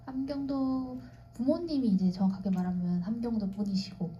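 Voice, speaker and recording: Woman, plain explanatory tone, very dark mellow voice, muffled hall sound. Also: on the high side, normal speed, slight background noise. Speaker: actress at a screening Q&A. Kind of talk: panel talk. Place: cinema auditorium stage.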